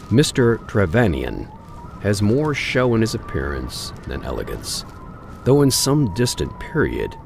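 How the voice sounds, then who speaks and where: A man with a very low, creaky voice narrates a period melodrama. His intonation rises and falls widely as he tells the story in deliberate, theatrical phrases. Faint ambient noise sits behind him. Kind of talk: dramatic scripted narration of a Victorian tale.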